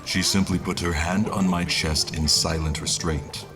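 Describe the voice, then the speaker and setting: A reflective historian recounts his fantasy travels, played by a man. His voice sits very low, and he tells the tale in deliberate, literary phrases. Music plays clearly behind him. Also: steady tone, lightly acted. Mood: resigned. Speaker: narrator of an audio drama. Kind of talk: scripted first-person story narration.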